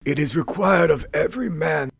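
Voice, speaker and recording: A man voicing a tormented male ghost in chains, with slow, wailing, drawn-out lines. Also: a little high, gravelly, breathy edge, no echo, clean studio sound, pitched above the performer's own voice. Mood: mournful.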